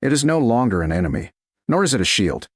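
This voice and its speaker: A man reading a romance story in a slow, measured narration style. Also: medium pitch, big pitch swings, dry recording, clean studio sound.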